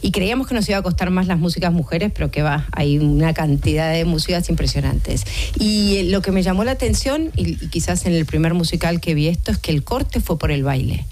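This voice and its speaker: A woman's voice: on the low side, expressive, brisk, a little mellow, a little hiss or hum. A woman speaking as a theatre producer in an interview, explaining things emphatically and with enthusiasm.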